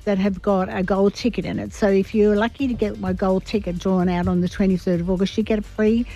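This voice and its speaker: A woman with a croaky, strained voice, giving psychic readings to radio callers in a chatty, advising way. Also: mid-range voice, steady tone, dull audio, faint music behind. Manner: chatty, giving advice warmly and quickly. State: croaky after vocal cord procedure. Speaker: psychic guest.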